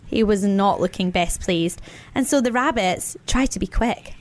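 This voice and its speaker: A woman narrating a children's story as an expressive storyteller. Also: mid-range voice, normal speed.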